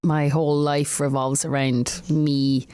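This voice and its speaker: A woman's voice: low voice, little pitch movement, takes it slowly, dark tone. An Irish woman, a singer-songwriter, talking casually as an interview guest, chatty with frequent laughs and restarts. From Ireland.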